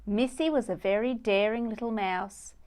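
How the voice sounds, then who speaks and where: A woman's voice: on the high side, moderate pace, darkish tone. A woman narrating a children's storybook as a read-aloud storyteller.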